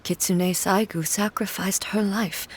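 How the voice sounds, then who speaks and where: A woman playing a composed, godly female shogun in a video game, speaking in a measured, formal, solemn way. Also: medium pitch, steady tone, slightly rough. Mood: grave, reflective. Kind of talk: video game character acting.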